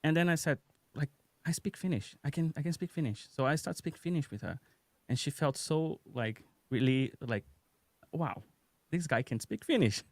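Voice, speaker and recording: Man, 30s, Brazilian accent, live-stream guest, relaxed storyteller, dry close mic. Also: a little high, moderate pace, no room sound. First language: Portuguese. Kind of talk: casual live-stream chat. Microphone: podcast microphone on a video call.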